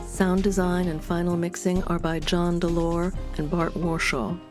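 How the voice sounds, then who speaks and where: A woman reading scripted narration as the host of an audio documentary. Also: on the low side.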